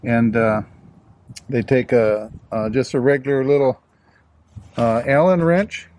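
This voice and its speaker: A man's voice: medium pitch, a little slow, darkish tone, slight background noise. A man casually narrating a hands-on DIY installation, explaining it plainly step by step.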